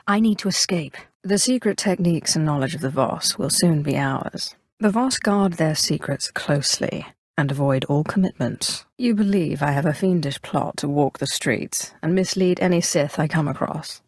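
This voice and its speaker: An imperious Sith sorceress for a video game. Her voice sits fairly low and is recorded close and dry. She speaks with deliberate, haughty theatricality and cool, cunning menace. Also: dry recording. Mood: cool, commanding.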